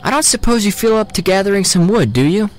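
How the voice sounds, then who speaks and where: An actor playing a calm, protective young man in an audio drama, reassuring and matter-of-fact. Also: high voice, quick pace. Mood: practical.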